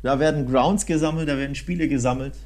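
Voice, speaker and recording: Casual mid-pitched male football podcaster. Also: fast. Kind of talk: casual chat, recounting match visits.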